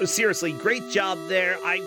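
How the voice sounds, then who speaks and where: A man's voice: high voice, normal speed, music in the background. A man hosting a game tournament stream, chatting casually with a player and laughing often.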